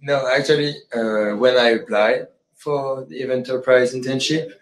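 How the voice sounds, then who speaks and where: A man's voice: medium pitch, steady tone, moderate pace. A young man from France, a native French speaker, talking about his internship in careful English. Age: 20s. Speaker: talent acquisition intern. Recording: echoey meeting room.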